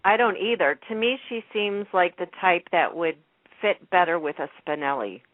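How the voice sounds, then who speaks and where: A woman's voice: lowish voice, moderate pace, no room sound. A woman making casual, agreeable conversation on a radio talk show in an informal way. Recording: narrow phone-like radio sound.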